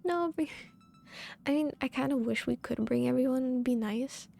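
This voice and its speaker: A woman's voice: sits high, moderate pace, gravelly, warm tone. A woman chatting casually as she live streams a game, giggly and meandering.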